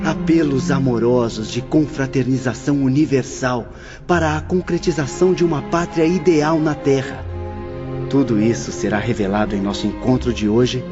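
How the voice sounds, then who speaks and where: An actor with a Brazilian accent playing a gentle young spirit teacher, lecturing in exalted, eloquent phrases in an audio drama. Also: male voice, medium pitch, lightly acted. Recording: dull audio with faint music.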